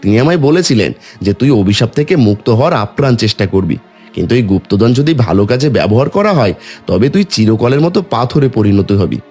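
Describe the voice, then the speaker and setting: A man's voice: mid-range voice, sing-song, a little fast, a little mellow, breathy edge, soft background music. A man voicing a kind, shrewd and humble woodcutter hero in a cartoon, assured as he explains himself. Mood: confident, triumphant.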